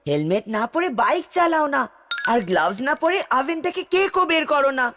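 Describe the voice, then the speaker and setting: A woman's voice: high voice, big pitch swings, a little fast, over music. A woman voicing a clever know-it-all young boy in a cartoon, with a preachy, emphatic delivery. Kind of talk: cartoon voice acting.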